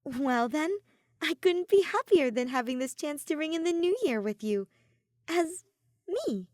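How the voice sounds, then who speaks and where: Storybook swordswoman, young played by a woman reader: confident, cheerful, a little shy, raised pitch, close dry sound. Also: animated, measured pace, a little mellow, dry recording. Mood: happy, grateful.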